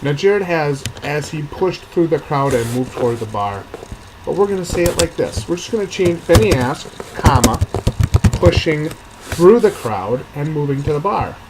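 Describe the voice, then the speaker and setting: A man's voice: a little high, lively intonation, normal speed. Man reading fiction over background noise. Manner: thinks aloud, rereading and revising sentences. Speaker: author reading own novel draft and commenting on edits. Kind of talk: narrated fiction reading with casual editing asides.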